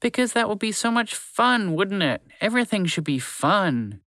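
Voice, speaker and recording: A man's voice: high voice, big pitch swings, moderate pace, pushed and bright, dry recording, studio quality, pitched above the performer's own voice, heavily acted. A man doing a whimsical, flippant storybook horse. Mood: playful. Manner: flippant, offhand. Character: odd, whimsical male horse, playful and blunt.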